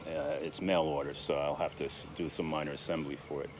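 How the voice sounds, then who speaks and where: A man in his sixties talking casually in a vlog, halting with many fillers and restarts. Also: rumbling low, little pitch movement, noisy background. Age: 60s. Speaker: vlogger.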